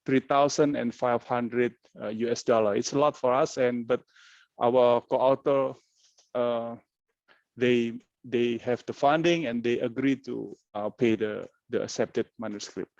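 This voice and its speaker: An Indonesian-accented man explains his points in a plain, conversational lecture voice, with a gravelly edge to it. He sounds dry and close on a dull, lo-fi online call. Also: medium pitch, fairly even intonation, a little slow, a little mellow, no room sound. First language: not English. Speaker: webinar speaker, researcher. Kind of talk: informal lecture presentation.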